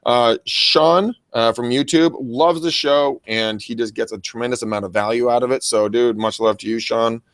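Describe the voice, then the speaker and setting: A man with an American accent and a very loud voice chatting casually as a talk show co-host, enthusiastic, full of bro slang and swearing. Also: mid-range voice, steady tone, moderate pace, hardly any pauses, no room sound. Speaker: co-host of a real estate training show and agent. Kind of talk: casual unscripted show chat with shout-outs. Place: private office.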